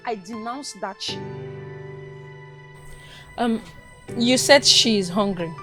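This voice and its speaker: A bitter defiant daughter. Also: female voice, fairly high pitch, takes it slowly, music in the background. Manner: sharp, dismissive, resentful. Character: bitter defiant young Nigerian mother.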